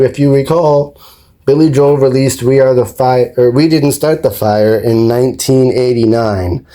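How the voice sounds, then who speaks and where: A man talking casually as a video host, explaining things step by step in a rambling, unhurried way. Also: mid-range voice, dark tone. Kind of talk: casual explanatory vlog talk. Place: indoors at home, behind the camera.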